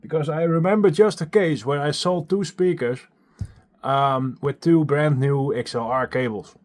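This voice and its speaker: A young Dutch-accented man talks into his phone held at arm's length in a small home studio lined with acoustic foam. He is chatty and self-deprecating and swears casually, and he sounds a little out of breath from running up and down the stairs. His voice sits slightly higher than most men's. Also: a little slow. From Netherlands. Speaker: music producer vlogger. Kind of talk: casual vlog talking to camera. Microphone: handheld phone at arm's length.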